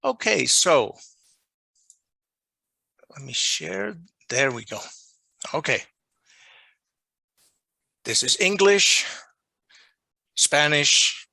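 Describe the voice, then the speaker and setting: Dutch male lecturer, non-native English, slow and deliberate, keen bright tone, dry call mic. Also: a little high, animated, vocal fry, husky, no echo. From Netherlands. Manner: deliberate, restates his points. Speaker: journal editor presenting a workshop. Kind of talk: online lecture. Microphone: video call microphone.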